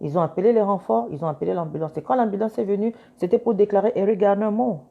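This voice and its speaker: A woman's voice: mid-range voice, quick pace, vocal fry, narrow, tinny audio. A woman lawyer giving earnest, insistent commentary, switching between French and English and repeating her key points. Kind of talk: casual commentary.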